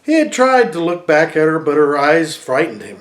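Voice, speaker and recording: A man, middle-aged, reading a storybook aloud expressively, with deliberate dramatic pauses. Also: a little high, big pitch swings.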